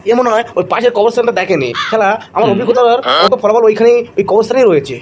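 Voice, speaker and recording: A man's voice: high voice, racing, highs cut off, slight background noise, lightly acted. A man playing a boastful, blustering, crooked quack doctor in a comic cartoon. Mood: indignant, scheming. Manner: bragging, blustering.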